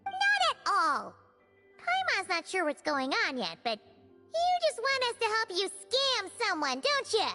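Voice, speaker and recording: Little cartoon fairy girl, extremely high-pitched, excitable, game voice acting, music behind. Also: a little slow, long reverb tail, heavily acted. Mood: indignant. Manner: squeaky, refers to self in third person. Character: small excitable childlike fairy companion, girl.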